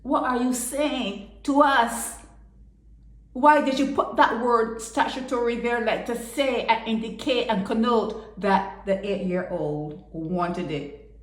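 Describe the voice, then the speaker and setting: An indignant woman holds forth alone, pressing one rhetorical question after another. Her voice sits fairly high and she takes her time, letting each word land slowly. The sound is roomy and echoey. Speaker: commentator. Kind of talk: impassioned solo commentary.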